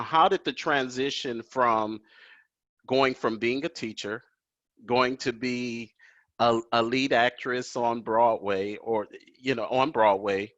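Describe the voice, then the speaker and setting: A man's voice: mid-range voice, a bit bright. A male host speaking in an ordinary middle register, admiring and deferential toward his guest. His voice comes through a dull, slightly lo-fi call line. The sound is dry, with no room echo. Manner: admiring, deferential, says "yes, ma'am". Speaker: talk show host. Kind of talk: remote video interview. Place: at home. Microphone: video call.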